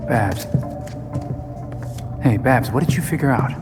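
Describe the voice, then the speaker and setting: A man's voice: mid-range voice, expressive, a little slow, close to an everyday voice. An actor playing a casual, skeptical young male vigilante in a video game, informal and matter-of-fact. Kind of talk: video game cutscene acting. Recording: long hall reverb, music behind.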